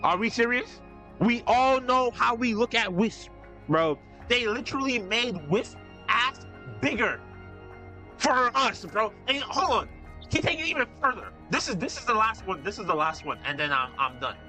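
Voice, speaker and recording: Bright-voiced young male streamer ranting. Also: very high-pitched, normal speed, faint music behind. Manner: excitable, profane, repeats "bro" and restarts when worked up. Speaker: game streamer. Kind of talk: ranting live gameplay commentary.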